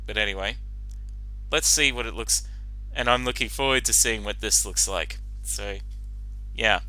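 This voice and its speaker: A man giving casual reaction commentary as host, talking in a halting, rambling way with lots of filler phrases. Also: fairly low pitch, sharp tone, low-quality recording. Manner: halting, rambling, frequent "you know". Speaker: host giving commentary on a fan-fiction reading.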